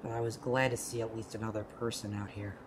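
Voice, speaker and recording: A man's voice: on the low side, level tone, background noise, natural voice. A man playing a sarcastic, bewildered young human lost in a desert, in a casual, slangy amateur audio play, with music behind him. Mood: wry narration. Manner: casual, slangy, exasperated, halting when confused. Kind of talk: amateur audio play with first-person narration. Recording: very loud music bed behind voice.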